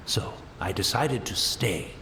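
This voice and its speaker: An actor playing an elderly blind tea master in a video game, courteous, resigned and philosophical, speaking in a formal, rambling way. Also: male voice, lowish voice, lively intonation, slow, creaky, bright, forceful tone, heavily acted. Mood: calm, reflective. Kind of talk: scripted video game voice acting. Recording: roomy sound with faint background noise.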